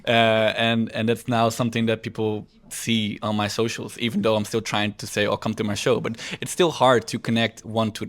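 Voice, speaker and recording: A man with a fairly low voice and a gravelly edge hosts a relaxed podcast chat. He asks questions haltingly, doubling words and starting over as he thinks. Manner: halting, repeats words and restarts while asking questions. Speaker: podcast co-host. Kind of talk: casual podcast interview.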